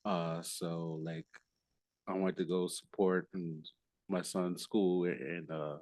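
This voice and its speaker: A hoarse man hosting a podcast, his voice sitting very low. He rambles casually through his intro, full of ums and uhs and false starts, and the sound is dry and close. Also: flat delivery, slow pace, darkish tone, no echo. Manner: rambling with many fillers and restarts. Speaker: podcast host.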